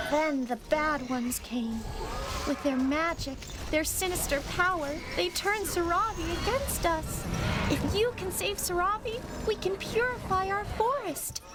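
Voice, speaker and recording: High-voiced, earnest forest guardian. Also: female voice. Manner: earnest, grave storytelling. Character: solemn, anxious female forest guardian. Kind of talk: video game cutscene acting. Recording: music behind the voice.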